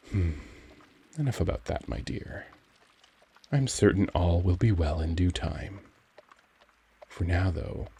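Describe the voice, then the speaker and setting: A man playing a young, charming, cultured vampire gentleman in an ASMR audio drama, speaking in a gentle, caring, genteel and formal manner. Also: low voice, creaky, breathy edge, full character voice. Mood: thoughtful, gentle. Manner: genteel, formal, soft-spoken, courtly phrasing.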